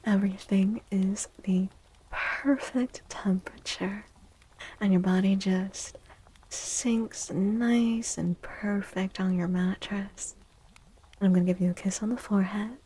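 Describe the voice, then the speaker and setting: Female ASMR live stream host: slow, soft and mellow, drowsy chatty delivery that slips into whispers. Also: mid-range voice, fairly even intonation, poor audio. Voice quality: soft, breathy. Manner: very chill, drowsy, often dropping to a whisper. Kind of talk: soft-spoken ASMR chat with stream viewers.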